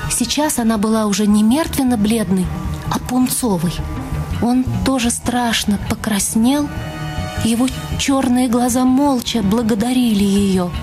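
A woman's voice: a little high, unhurried. Woman narrating a radio drama over music. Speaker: narrator and reader in a radio play. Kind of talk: scripted literary narration, dramatised reading. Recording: music under the voice.

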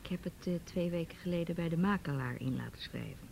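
A woman's voice: on the low side, fast, gravelly, a little mellow, slight background noise, poor audio. A woman acting a proud, guarded woman reluctantly admitting failure, speaking tersely in a radio drama. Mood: guarded, resigned.

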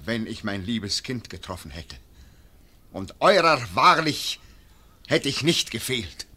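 An actor playing a proud, grave archer hero who speaks in a solemn manner. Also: male voice, mid-range voice, very animated, takes it slowly, pushed and bright, slight background noise, exaggerated voice.

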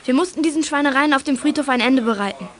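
A woman's voice: high voice, quick pace, a bit bright. A female performer playing a determined, loyal young girl detective in a 1980s youth audio drama, firm and earnest. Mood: apologetic but firm.

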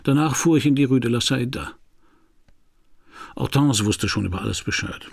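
A gravelly, dry detective narrator. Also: male voice, mid-range voice, moderate pace, dry recording. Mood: dry, reflective. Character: male hardboiled private detective narrating his own story, dry. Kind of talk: first-person scripted radio drama narration. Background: music.

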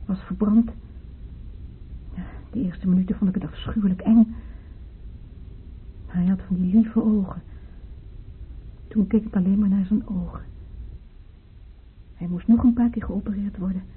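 An actress as a fragile, anxious woman in a radio drama, plaintive and hesitant. Her voice sits at a medium pitch. The recording is boxed-in like a telephone, with light noise. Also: steady tone, moderate pace, long pauses, lightly acted. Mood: wistful, regretful. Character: anxious, fragile woman just out of hospital, pleading. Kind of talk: radio play acting. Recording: narrow phone-like radio play, faint noise.

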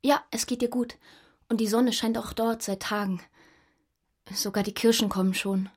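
A man voicing the narrator's affectionate young girlfriend in an audiobook reading, speaking in a soft, hushed, tender way. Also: high-pitched, steady tone, moderate pace, voice pushed up. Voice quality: soft and breathy.

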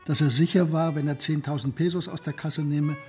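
A man's voice: a little high, fairly even intonation, brisk, a little mellow, faint music behind, close to an everyday voice. An actor playing a brooding, guilt-ridden man in a radio play, speaking in a subdued, hesitant way. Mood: subdued, guilty.

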